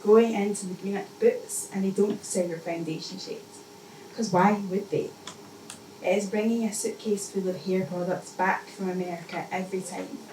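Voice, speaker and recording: Female poet, measured live reading with deliberate repetition, recorded from far off with background noise. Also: on the low side, darkish tone. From Scotland. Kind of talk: spoken-word poetry reading. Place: indoor live poetry event. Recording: echoey, noisy room, mic distant.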